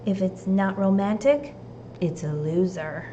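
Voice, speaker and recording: A woman's voice: medium pitch, measured pace, mellow, dull audio, a little hiss or hum, pitched below the performer's own voice, close to an everyday voice. A woman voicing a blunt, know-it-all teenage girl from a storybook, with a dismissive, declarative manner.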